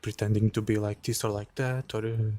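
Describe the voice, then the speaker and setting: A man in an unedited late-night vlog, speaking quietly and hesitantly with many pauses and fillers, cold and shivering a little into a handheld phone outdoors. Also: on the low side, little pitch movement. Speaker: vlogger. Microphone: phone held by hand.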